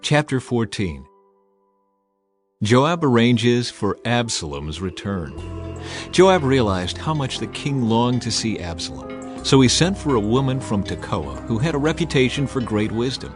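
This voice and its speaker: A man reading scripture as an audiobook narrator, in a measured, expressive, dramatized storytelling style. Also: medium pitch, music in the background.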